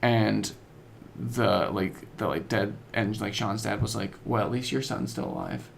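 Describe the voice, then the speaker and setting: A man's voice: lowish voice, measured pace, gravelly, slight background noise. A man chatting casually as a podcast co-host about video games, telling stories in slangy, informal language.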